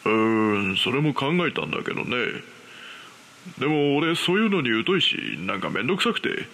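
Man acting as easygoing bazaar vendor, horror radio drama, offhand, coaxing, lively swooping intonation, mid-pitched voice. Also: normal speed, creaky, exaggerated voice. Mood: laid-back. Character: casual, easygoing male bazaar game seller, faintly suspicious.

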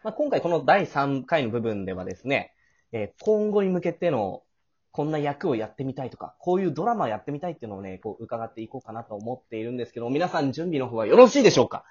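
A jovial, chatty man hosting a casual radio drama cast talk, quick to laugh. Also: mid-range voice, sing-song, darkish tone, slightly rough, highs cut off. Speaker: host.

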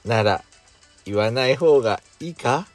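A man playing a calm, indulgent boyfriend with a dry, gentle manner in an intimate bedtime audio drama. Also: medium pitch, measured pace, soft background music, lightly acted. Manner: gentle, dry, masculine wording. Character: gruff but doting male lover, calm and indulgent.

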